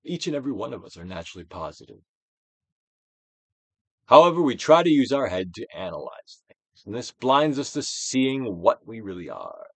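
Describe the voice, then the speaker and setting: A man giving a theatrical, Shakespearean-style dramatic reading of a self-help book, with deliberate pauses. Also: mid-range voice, very animated, breathy edge, no echo. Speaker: audiobook reader, actor.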